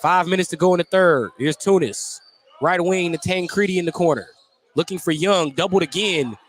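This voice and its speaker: High-pitched male basketball play-by-play announcer. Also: normal speed, slight background noise. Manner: clipped running descriptions of the action. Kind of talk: live basketball play-by-play commentary. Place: at a basketball game in a gym.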